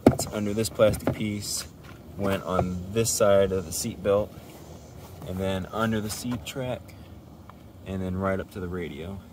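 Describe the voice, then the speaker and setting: A man's voice: low-pitched, fairly even intonation, measured pace, background noise. A man presenting a casual, informal DIY walkthrough, explaining things step by step as he goes. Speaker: DIY car video presenter.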